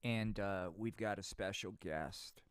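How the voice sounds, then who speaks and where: Slow, slangy puppeteer man. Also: on the low side, smooth. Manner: profane, slangy, tossing in "man" and "dude". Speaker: puppeteer host. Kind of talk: unscripted live stream banter.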